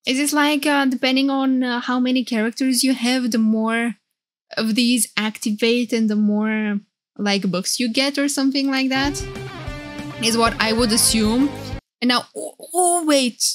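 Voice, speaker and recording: Gushing high-voiced woman streamer. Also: fairly even intonation, a little slow. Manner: gushing, flustered, repeats herself. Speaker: VTuber streamer reacting to game trailers. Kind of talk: excited live reaction commentary. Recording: game trailer music behind voice.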